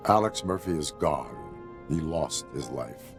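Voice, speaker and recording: Brief: a deep, clipped, formal cyborg cop, music behind. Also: male voice, animated, measured pace, vocal fry, exaggerated voice. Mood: stern. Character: stern male cyborg police officer. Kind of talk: video game cutscene acting.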